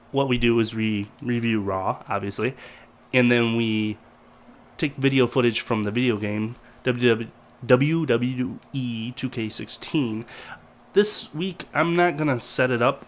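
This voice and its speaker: A man's voice: medium pitch, a little hiss or hum. Stuffy-nosed man with a cold. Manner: rambling, loose and chatty. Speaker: co-host. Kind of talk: casual chat.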